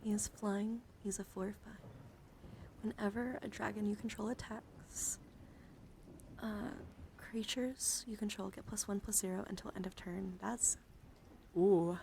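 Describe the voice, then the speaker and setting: A woman hosting an ASMR livestream, speaking softly and breathily into a close mic, often slipping into whispers, in a playful, cutesy, scattered way. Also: medium pitch, fairly even intonation, a little slow, gravelly, slight background noise. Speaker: livestream host. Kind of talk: ASMR livestream, whispering and soft talk to chat.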